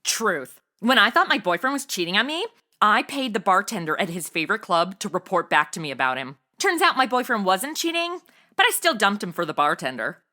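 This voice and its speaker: A woman's voice: mid-range voice, big pitch swings, fairly quick, sharp tone. An actress playing a brash, nosy, flippant young modern woman in an audio comedy, talking in casual, sassy slang. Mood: flippant, gossipy. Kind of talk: comedy audio drama acting.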